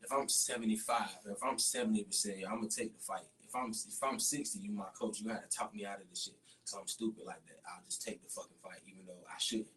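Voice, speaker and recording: A man's voice: fairly low pitch, fairly even intonation, fast talker, hardly any pauses, fairly bright tone. An American man chatting casually and bluntly as a guest fighter, with slangy, profane speech. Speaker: MMA fighter, podcast guest. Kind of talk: casual chat. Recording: distant mic, noisy room.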